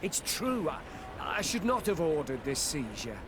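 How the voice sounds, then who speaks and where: Game role: evasive, anxious customs officer, very animated pitch, hesitant and servile, clear ambient noise behind. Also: male voice, a little slow. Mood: flustered, defensive. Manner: hesitant, stammering, deferential. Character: evasive, nervous, beleaguered male customs officer. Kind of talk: video game cutscene acting. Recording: noisy outdoor ambience behind the voice.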